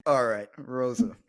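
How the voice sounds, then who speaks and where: A man hosting a casual podcast interview over a video call, relaxed and laughing often. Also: mid-range voice. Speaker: podcast host.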